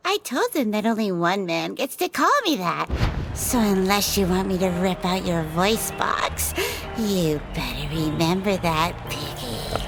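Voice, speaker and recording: A woman's voice: fairly low pitch, animated, a little slow. A woman voicing a wild, cocky female fighter who talks in a playful, taunting way. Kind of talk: ASMR audio drama roleplay. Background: background music.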